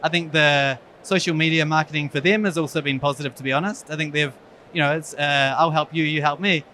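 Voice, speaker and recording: A man with a New Zealand accent gives a conference talk as an eye surgeon, with a somewhat high-pitched voice. He speaks frankly, with wry, self-deprecating humor. A faint background hum sits under his voice. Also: fairly even intonation, fairly quick, sharp tone. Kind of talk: conference presentation.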